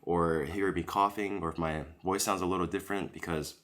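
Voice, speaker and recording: A man's voice: deep voice, fairly even intonation, a little fast, fairly bright tone. A man reviewing a sandwich while eating it, chatting casually and recovering from a cold, recorded at home on a camera-mounted mic. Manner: chatty, rambling, leisurely tasting commentary. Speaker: food vlogger. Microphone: camera-mounted shotgun mic.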